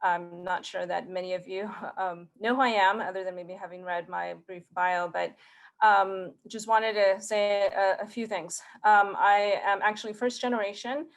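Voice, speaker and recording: A woman who grew up in Mexico and speaks Spanish as her first language gives a conference talk in her thirties. Her delivery stays level and even in pitch, and she keeps restarting her phrases with small fillers as she goes. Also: normal speed. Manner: earnest, hesitant, many fillers and restarts. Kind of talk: prepared career talk.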